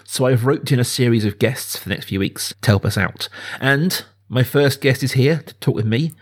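A man speaking as a podcast host, chatting informally and with gentle jokiness about films. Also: mid-range voice, moderate pace. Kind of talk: casual film podcast chat.

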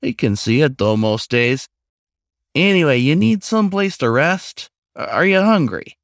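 A man's voice: big pitch swings, measured pace, creaky, fairly bright tone, dry recording, clean studio sound, heavily acted. A man voicing an eager, chatty, lonely talking raccoon in a story, lecturing and pleading. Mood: solicitous. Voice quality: squeaky when pleading.

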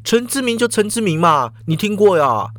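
A woman's voice: medium pitch, sing-song, no room sound, voice pushed up, close to an everyday voice. A man voicing a feisty, sulky young woman who whines and argues while caught in danger. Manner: whiny, pouting, quick to argue.

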